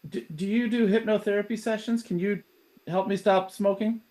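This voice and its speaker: A man's voice: sits high, flat delivery, moderate pace, a little mellow. A man acting as a test caller, talking casually with unscripted questions.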